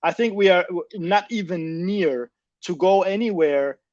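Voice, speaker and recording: Male podcast host, high-pitched, emphatic explainer who repeats himself, muffled low-fidelity sound. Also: measured pace, a loud crowd. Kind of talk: explanatory business discussion. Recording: dull, dry lo-fi audio.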